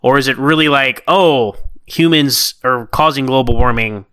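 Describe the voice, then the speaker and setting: A man co-hosting a casual review chat, talking in a rambling, enthusiastic, halting way. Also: medium pitch, pushed and bright, clean tone, dry recording. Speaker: podcast co-host.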